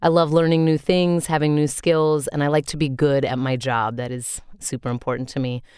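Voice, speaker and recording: A woman voice actor with a deep voice, reading her own written answers aloud in an earnest way, with small asides. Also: little pitch movement, moderate pace, darkish tone, clear, resonant tone, no room sound, studio quality.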